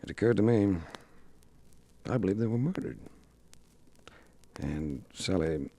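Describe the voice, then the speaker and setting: A man's voice: low-pitched, lively intonation, measured pace, gravelly, warm tone, heavily acted. An American man acting a tough, terse, cool-headed private investigator in a 1950s radio detective drama. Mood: grave. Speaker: lead actor and narrator. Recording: vintage 1950s radio recording.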